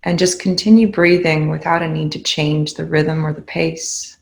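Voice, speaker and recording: Low, even-voiced woman guiding meditation, creaky. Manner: calm, unhurried, gently instructive. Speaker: guided meditation leader. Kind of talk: guided meditation, slow spoken instruction.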